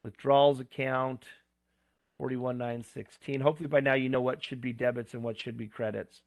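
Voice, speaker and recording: A gravelly, hoarse man talks through accounting homework one entry at a time, in plain words with small asides. He sounds close and dry, with no room around his voice. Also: medium pitch, little pitch movement, moderate pace, dry recording. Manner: plain step-by-step talk with asides and fillers. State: going hoarse. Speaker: instructor. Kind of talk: screen-recorded homework walkthrough.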